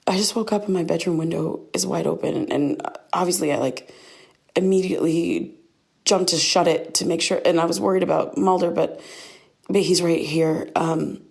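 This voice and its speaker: A woman acting an anxious, sleepless young woman who rambles haltingly in a naturalistic audio diary drama. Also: lowish voice, fairly even intonation, vocal fry, darkish tone, breathy edge. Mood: shaken, rambling. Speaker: lead actor in a fiction podcast.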